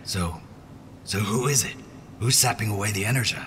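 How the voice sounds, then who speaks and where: A man acting as an offhand, curious companion in a fantasy audio drama. His voice is very low and colloquial, with clear ambient noise behind it. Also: moderate pace, fairly bright tone, big-room sound, close to an everyday voice. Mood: curious, pressing.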